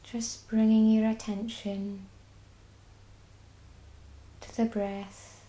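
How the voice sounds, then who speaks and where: A woman with a Scottish accent guiding a body scan meditation, speaking slowly and soothingly. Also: on the high side, little pitch movement, echoey room, low-quality recording. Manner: slow, soft and soothing. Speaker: mindfulness guide.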